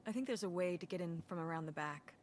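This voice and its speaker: A woman's voice: fairly low pitch, quick pace, natural voice. A woman voicing a dry, reluctant, exasperated middle-aged mother in a naturalistic video game performance. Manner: dry, deadpan.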